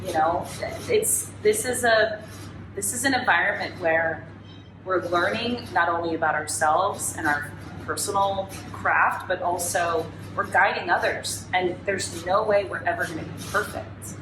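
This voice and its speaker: A woman's voice: fairly low pitch, measured pace. A woman from California, a Pilates educator, talking in a reflective, chatty way. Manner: warm, reflective, chatty with "you know" asides. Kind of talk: casual interview. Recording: echoey room with background noise.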